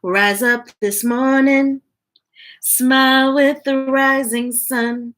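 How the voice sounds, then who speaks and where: Bright, high-voiced woman reading aloud. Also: fairly even intonation, slow. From United States. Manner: sings the book text with playful scat sounds; chatty asides between readings. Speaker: children's book reader. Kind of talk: live-streamed storybook reading.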